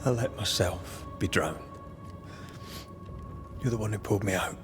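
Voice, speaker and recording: Low, slow, brooding war-haunted man actor playing. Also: close to an everyday voice. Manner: halting, breathy murmurs, grave. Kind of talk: dramatic video game dialogue. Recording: music under the voice.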